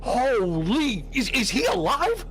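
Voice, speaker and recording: A man playing a jumpy, squeamish working-class morgue worker in an old-time radio drama, halting and repeating himself. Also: very high-pitched, wildly swooping pitch, fairly bright tone, slight background noise, exaggerated voice. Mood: terrified. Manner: halting, repetitive, colloquial.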